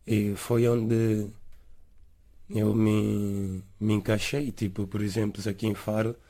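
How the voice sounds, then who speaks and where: Young são tomé guy, low mellow voice, kinda hesitant and relaxed, trails off a lot. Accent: São Tomé Portuguese. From São Tomé and Príncipe. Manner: halting, laid-back, trails off softly at phrase ends. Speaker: interviewee. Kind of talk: casual interview answers.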